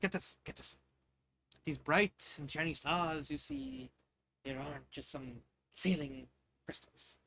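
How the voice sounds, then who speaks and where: A man's voice: fairly high pitch, very animated, takes it slowly, gravelly, pushed and bright, a little hiss or hum, full character voice. Man reading as an eager young fantasy stargazer. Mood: excited. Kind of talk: amateur fan fiction reading.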